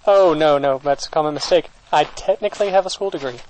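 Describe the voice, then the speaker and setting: Man acting a high-voiced, flippant techno-mage. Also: fast talker, a little mellow, slight background noise, lightly acted, poor audio. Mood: breezy. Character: flippant, nonchalant techno-mage radio engineer. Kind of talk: comic audio drama, conversational.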